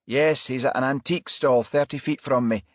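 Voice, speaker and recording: A man voicing a brisk, alert female police detective in an audiobook, with clipped, urgent delivery. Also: medium pitch, steady tone, no room sound, studio quality, voice pushed up, lightly acted. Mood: brisk, watchful. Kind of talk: audiobook character dialogue.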